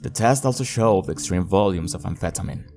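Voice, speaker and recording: A man's voice: low-pitched, expressive, a little fast, gravelly. A man hosting a horror video review, moving between scripted narration and casual commentary. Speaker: host of a video reviewing an analog horror series.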